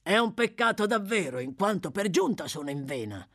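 A woman's voice: mid-range voice, very animated, brisk, breathy edge, voice pushed up. A woman voicing a brutal, short-tempered male thief in an audiobook, with a brusque, bullying, sneering manner. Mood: gruff, sardonic.

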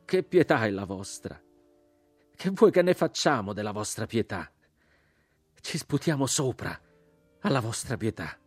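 A bitter crucified man , acted out by a male reader cries out in raised, forceful pitch over music. He taunts and accuses with drawn-out, scornful questions, in the declamatory manner of a dramatic literary reading. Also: animated, slightly rough, no echo. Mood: enraged, mocking.

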